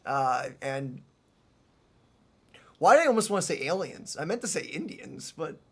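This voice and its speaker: A let's play host giving casual, joking, expressive gameplay commentary in conversational banter. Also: medium pitch, moderate pace, husky. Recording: game music behind.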